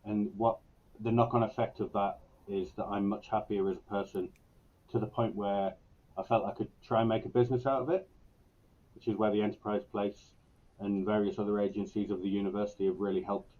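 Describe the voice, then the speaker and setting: Muffled, low, earnest man. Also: level tone, a little fast, a little hiss or hum. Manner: earnest, reflective. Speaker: guest, university student in creative business. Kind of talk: personal conversational interview answers. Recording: dull, lo-fi online call audio.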